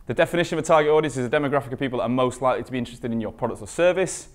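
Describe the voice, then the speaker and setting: A man's voice: mid-range voice, very fast. Male conference speaker, mid-range voice, informal and emphatic presenting style with rhetorical questions. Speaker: conference speaker, recruitment marketing expert.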